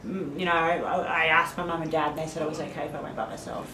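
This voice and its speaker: A woman hosting a casual interview, friendly and chatty, quick to laugh. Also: low voice, steady tone, bright, forceful tone. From Netherlands heritage via father, raised abroad. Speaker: podcast host. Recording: echoey room with faint noise.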